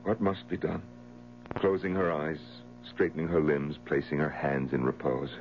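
An actor playing a sober, consoling friend with measured delivery in a 1970s radio drama. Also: male voice, rumbling low, little pitch movement, soft background music. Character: steady, consoling male friend of the mourner. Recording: muffled old radio recording, mains hum.

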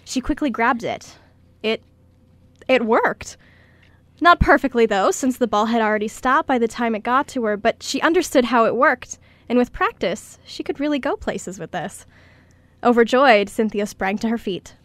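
High-voiced woman narrating a radio story. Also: lively intonation, normal speed, a bit bright, no echo.

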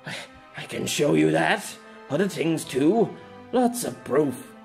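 A man voicing a weary middle-aged former swordsman in an audio story, halting and bemused. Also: on the high side, lively intonation, vocal fry, faint music behind, voice pushed up, heavily acted. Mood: flustered, exasperated.

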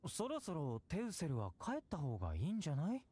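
Confident young warrior, lively anime delivery. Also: male voice, fairly high pitch, fairly quick, a little hiss or hum. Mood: gentle, instructive. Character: confident, easygoing young male warrior, caring elder brother. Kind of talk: anime video game acting.

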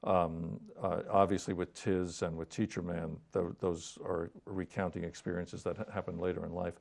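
Low, gravelly male interviewer. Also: level tone, darkish tone. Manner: measured, hesitant questioning. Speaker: interviewer, host. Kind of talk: literary TV interview. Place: TV studio, indoors. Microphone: lapel mic.

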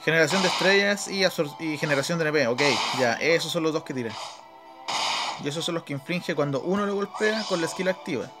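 A man's voice: fairly high pitch, a little fast. A man with a Chilean accent giving casual, off-the-cuff gameplay commentary, muttering as he thinks aloud. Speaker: gaming video host. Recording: game music behind the voice. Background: video game battle music.

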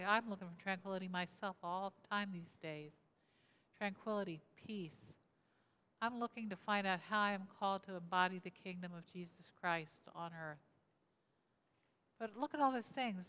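Woman pastor in her sixties, smooth voice, interactive sermon at a lectern in a large echoing sanctuary. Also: medium pitch, a bit bright. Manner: asks the congregation questions and repeats their answers. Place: church sanctuary, indoors, at a lectern. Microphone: lectern mic, camera at mid distance. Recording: reverberant church hall.

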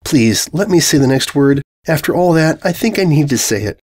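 A studious pony mare from a storybook, voiced by a man, pitched fairly high. She speaks precisely and deliberately, with pointed exasperation. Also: expressive, darkish tone, husky. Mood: firm indignation.

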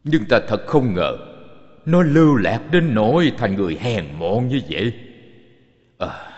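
An actor playing a wealthy old father, a sighing yet commanding master in an animated drama. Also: mid-range voice, measured pace. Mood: wistful, pondering. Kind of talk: dubbed animated Buddhist drama. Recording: muffled, long studio reverb.

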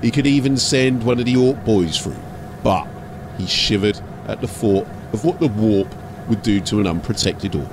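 Guy reading a story dramatically, lowish voice, ambient noise behind him. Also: lively intonation, moderate pace. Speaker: audiobook narrator. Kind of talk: scripted dramatic story narration.

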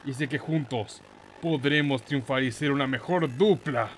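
A man with a Chilean Spanish accent giving casual, excitable let's play commentary in a playful, slangy, exclamatory manner. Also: medium pitch, unhurried, slight background noise. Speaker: gaming YouTuber, host.

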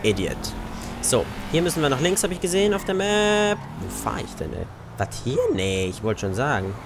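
A young Austrian man chats casually while he plays, joking and swearing at other drivers. His voice moves lively up and down. Engine and street noise from the game sits clearly under him. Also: medium pitch, normal speed. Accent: Austrian German. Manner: chatty, cursing at other drivers. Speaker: let's play gamer. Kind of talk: casual gameplay commentary.

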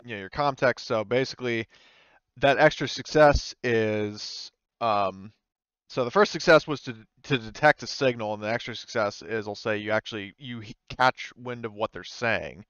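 Smooth-voiced man running a tabletop game. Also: medium pitch, fairly bright tone, no room sound, highs cut off. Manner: halting, informal, hedging. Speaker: game master. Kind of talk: improvised tabletop game narration. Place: indoors, online game session.

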